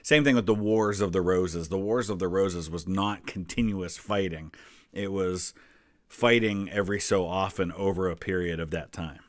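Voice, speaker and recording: A man in his forties with an American accent and a fairly low voice talks casually. He explains history in a conversational way, and the sound is dry and close. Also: moderate pace, dry recording. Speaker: history vlogger, host.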